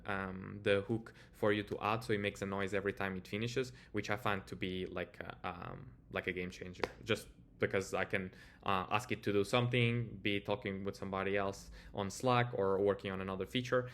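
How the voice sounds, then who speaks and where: A man with a Portuguese accent and a somewhat low voice presents a casual software tutorial. He talks informally as a developer showing his screen, hesitating and padding his sentences with filler words.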